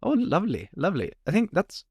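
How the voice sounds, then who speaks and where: A man hosting a podcast, asking a guest about his studies in a lively, chatty way and often repeating words as he starts. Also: high-pitched, fast, slightly rough, no room sound, studio quality. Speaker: podcast host. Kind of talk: casual interview questions.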